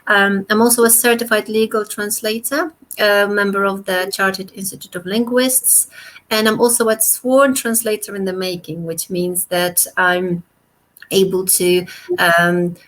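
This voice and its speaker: A woman's voice: medium pitch, flat delivery, measured pace, fairly bright tone. A woman translator whose first language is Polish, talking hesitantly with many fillers on a video call panel. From Poland. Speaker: translator guest. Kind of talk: casual panel discussion on a call.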